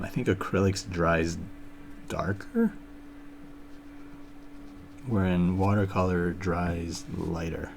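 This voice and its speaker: A man painting on a live stream, thinking aloud in a relaxed, casual way as he demonstrates and chats with viewers. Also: low-pitched, gravelly, background noise. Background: music playing.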